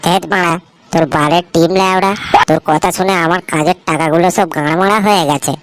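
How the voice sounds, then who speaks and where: A woman's voice: fairly low pitch, fairly even intonation, quick pace, lightly acted. A woman voicing a skeptical, greedy young male friend in a comic cartoon, crude and combative, with a West Bengal accent. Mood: grumbling. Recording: clearly noisy background.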